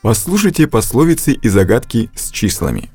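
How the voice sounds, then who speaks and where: A man reading scripted narration for a language course, with slow, deliberate instructional delivery and a close studio mic. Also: mid-range voice, sing-song, dark tone, husky, dry recording, soft background music.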